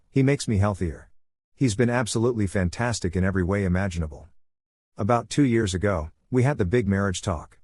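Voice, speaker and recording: Male story reader, lowish pitch, dead-dry booth sound, even unhurried delivery. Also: dry recording. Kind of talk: reading online posts aloud.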